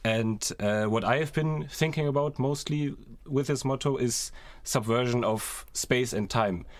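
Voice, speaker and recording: A man's voice: medium pitch, measured pace, no echo. A young man speaking as a student podcast co-host, explaining things in a reflective, conversational way. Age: young adult. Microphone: close podcast microphone.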